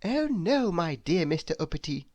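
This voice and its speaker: A woman voicing an unfailingly polite, gentle male storybook creature with a soft, courteous manner. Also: lowish voice, sing-song, moderate pace, dry recording. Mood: courteous, reassuring.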